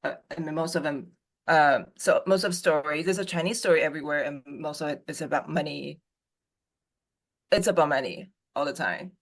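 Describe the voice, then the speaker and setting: Gravelly-voiced consultant, halting speech. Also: medium pitch. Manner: halting, restarts with frequent "uh" and "um". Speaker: copyright consultant. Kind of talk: casual group conversation.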